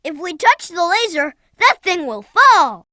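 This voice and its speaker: Young boy fox sidekick, very high childlike pitch, lively intonation, eager, earnest, clearly enunciated, video game hint delivery. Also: a little slow, dry recording, studio quality, heavily acted. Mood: earnest, instructive. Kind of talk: scripted video game tutorial voice acting.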